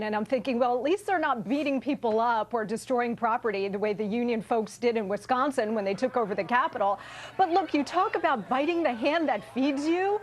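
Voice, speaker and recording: A woman political commentator arguing her view on a news panel. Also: high-pitched. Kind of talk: panel debate.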